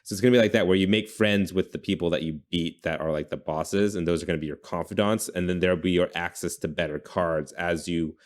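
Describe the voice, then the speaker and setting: A man's voice: low voice, steady tone, fast, hardly any pauses. A man hosting a podcast, chatting casually and informally about video games in a rambling way. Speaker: podcast host.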